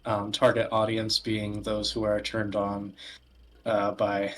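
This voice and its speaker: A man giving casual podcast commentary, explaining things in a wordy, halting way. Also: fairly low pitch, monotone, a little hiss or hum. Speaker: podcast host presenting video games. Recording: music playing behind the voice. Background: background music.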